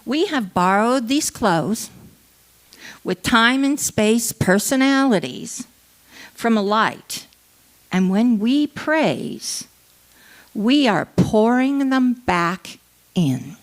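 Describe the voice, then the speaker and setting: Female reader, higher register, unhurried and measured, dramatic poetic recitation. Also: animated. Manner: measured, deliberate phrasing. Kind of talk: slow dramatic poetry reading. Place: church service.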